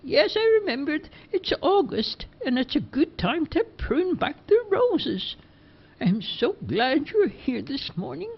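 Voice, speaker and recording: Casting: man playing a fussy, gossiping elderly village woman, high and drawn-out, swooping. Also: sing-song, vocal fry, full character voice. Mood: polite. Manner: slow, dramatic gossip. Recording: dull lo-fi recording.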